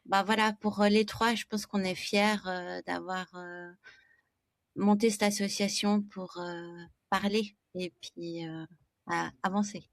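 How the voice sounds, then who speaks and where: A woman in her late thirties from Switzerland, a podcast guest, who speaks softly and trails off quietly. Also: medium pitch, steady tone, slow, creaky, dry recording. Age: 30s. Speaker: guest, association co-founder. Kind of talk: casual podcast interview.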